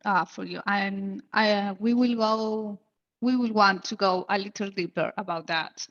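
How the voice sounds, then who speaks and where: A woman hosting an interview, asking questions slowly and in measured steps. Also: mid-range voice, sharp tone, lo-fi sound. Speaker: interviewer host.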